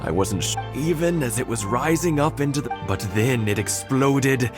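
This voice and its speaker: A sentimental old husband from a game village, played by a man. He muses and reminisces haltingly in a mid-pitched voice, genial and reflective, with music playing behind him. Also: expressive, close to an everyday voice. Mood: sentimental, nostalgic. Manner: hesitant, musing storytelling. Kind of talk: video game dialogue.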